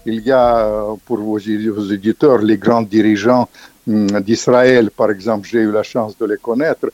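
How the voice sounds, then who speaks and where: An older man being interviewed as an author, speaking emphatically with many restarts and sharp pitch rises on key points. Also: steady tone, normal speed, dull audio, soft background music. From Ukrainian background. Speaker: author guest. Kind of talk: interview, political commentary.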